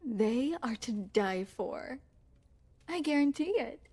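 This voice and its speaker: Woman acting a soft-toned, unhurried regal young princess. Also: a little high, lively intonation, husky, heavily acted. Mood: sweet, curious. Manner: formal, courtly, coy phrasing. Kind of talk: intimate ASMR roleplay acting.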